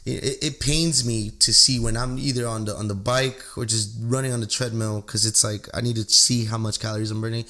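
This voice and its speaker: A young man in his twenties hosting a podcast in casual chat, relaxed and joking, on a stand mic in a living room studio. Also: lowish voice, fairly quick, hardly any pauses. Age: 20s. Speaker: podcast host. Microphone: desk mic on a stand.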